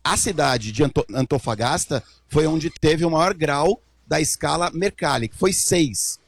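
A man's voice: on the high side, measured pace, husky, dry recording. A male correspondent delivering a news report from abroad, explaining things informally with frequent fillers.

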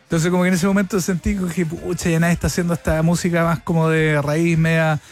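Chilean guy, middle-aged musician guest, talks fast and acts out everything people said, soft music in the back. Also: on the high side. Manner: animated storytelling, acts out dialogue. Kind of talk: casual interview chat.

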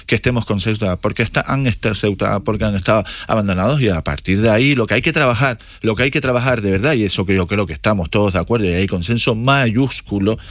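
A man speaking as a party politician in a televised debate, repeating himself and pausing on fillers. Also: fairly low pitch, dry recording, clean studio sound. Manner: hesitant, repetitive, with fillers.

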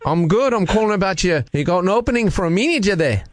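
A man's voice: sits high, animated, fast, a little hiss or hum. A man putting on a wandering Australian accent as a chirpy, pushy, pleading job seeker in a radio prank call. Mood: chirpy, confident. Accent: put-on, wandering Australian. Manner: pleading, persistent, cheeky.

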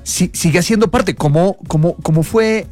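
A male interviewer with a somewhat high voice asks measured questions, addressing his guest formally. Faint music sits in the background. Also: darkish tone.

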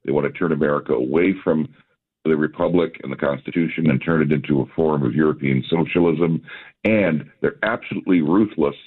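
A man's voice: a bass voice, breathy edge. A man as a guest commentator on a radio interview, speaking assertively with wry remarks. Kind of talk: phone-in interview. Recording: phone line.

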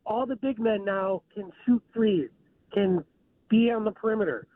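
A man's voice: sits high, moderate pace. A man calling into a sports radio show, rambling and hesitant with lots of fillers. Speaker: caller. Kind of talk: phone-in chat. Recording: tinny phone line.

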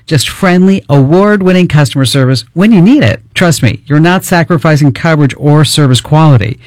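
Upbeat radio host guy, kinda high voice, asks lots of quick questions. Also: no echo, studio quality. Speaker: radio talk show host. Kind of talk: call-in tech radio chat and ad read.

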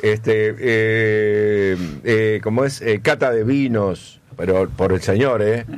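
A man's voice: lowish voice, fairly even intonation, takes it slowly. Man, Argentine accent, radio host, chatty and rambling, filler words. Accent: Argentine Spanish. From Buenos Aires, Argentina. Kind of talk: casual radio chat.

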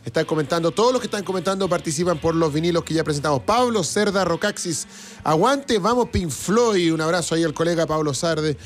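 A man's voice: a little high, a little fast. A Chilean man hosting a live music show in casual chat, often repeating phrases for emphasis and reading out comments.

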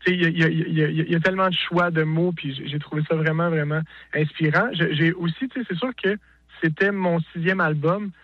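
A man's voice: on the high side, steady tone, fast talker, no room sound, lo-fi sound. A male singer-songwriter with a Québécois French accent, talking casually in an interview, hesitant with many restarts and fillers.

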